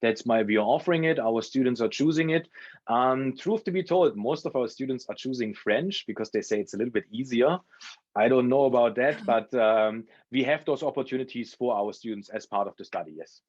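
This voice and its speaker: A man speaking as a culinary school representative, explaining information in a measured, careful way during an interview-style talk. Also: medium pitch, darkish tone, lo-fi sound. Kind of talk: informational interview answers at an education fair talk.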